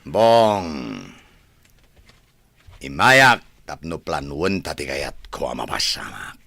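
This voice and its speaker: Bitter, insistent son for TV drama; Ilocano accent, forceful bright voice, close dry sound. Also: male voice, extremely low pitch, sing-song, takes it slowly, vocal fry, no room sound, full character voice. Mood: brooding, scheming. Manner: confrontational, insistent. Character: resentful adopted adult son, demanding and bitter. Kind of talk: Ilocano television drama acting.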